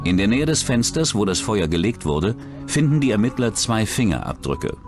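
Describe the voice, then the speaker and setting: A man's voice: fairly low pitch, sing-song, moderate pace. A man reading scripted true-crime documentary narration as the narrator. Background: background music.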